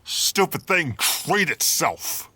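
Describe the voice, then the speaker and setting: A man's voice: a little high, lively intonation, slow, background noise. A man voicing a stubborn, hungry and vengeful predator animal in a dramatic comic dub. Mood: impatient, hungry. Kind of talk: dramatic comic fandub acting.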